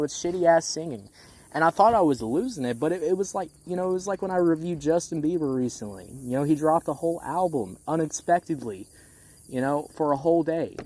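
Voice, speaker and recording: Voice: medium pitch, darkish tone. A music critic giving a casual, opinionated album review, speaking bluntly and repeating phrases for emphasis. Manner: casual swearing.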